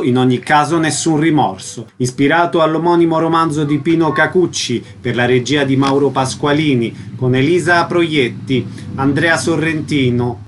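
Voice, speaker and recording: A man's voice: fairly high pitch, a little hiss or hum. A male radio announcer reading scripted station and programme notices.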